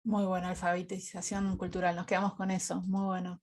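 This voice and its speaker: A woman's voice: on the low side, completely flat delivery, brisk, darkish tone. A woman moderating an online panel, informally commenting and passing the floor between presentations. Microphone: video call.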